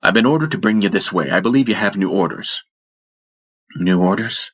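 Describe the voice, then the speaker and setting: Male narrator as an anxious corporal, sci-fi audiobook, deferential, halting, polite, husky low voice. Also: lightly acted. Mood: confused. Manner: hesitant, polite, faltering.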